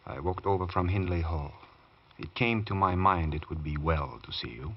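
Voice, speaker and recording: An actor as a low-voiced, brooding valet. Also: male voice, normal speed, slight background noise, close to an everyday voice. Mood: polite, uneasy. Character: deferential, brooding male valet, secretive and bitter. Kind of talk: 1940s radio drama acting. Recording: dull, muffled old radio recording.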